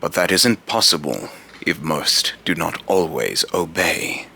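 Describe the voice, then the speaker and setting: A man voicing a menacing, scornful god of fear as a video game villain, pompous and theatrical, with a very deep, gravelly voice. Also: big pitch swings, measured pace, pushed and bright, full character voice. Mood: grandiose.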